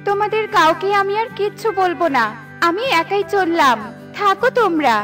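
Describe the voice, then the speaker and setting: A woman's voice: very high-pitched, expressive, normal speed, fairly bright tone, faint music behind. A woman voicing a proud, headstrong young cloud in a children's cartoon, with emphatic, dramatic delivery. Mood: sulky.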